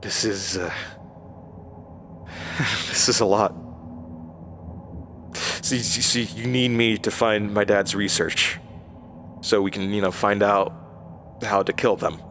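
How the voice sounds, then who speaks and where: A man's voice: fairly low pitch, level tone, slow pace, long pauses, noisy background. An actor playing a troubled, haunted man, the uneasy lead and narrator of a horror audio drama. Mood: stunned, hesitant.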